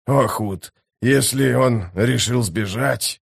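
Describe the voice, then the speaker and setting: A man voicing a gruff, sardonic wounded sapper in an audiobook, hoarse and strained with pain. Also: medium pitch, takes it slowly, heavily acted. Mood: weary dismay. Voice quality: hoarse, raspy. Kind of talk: audiobook character dialogue.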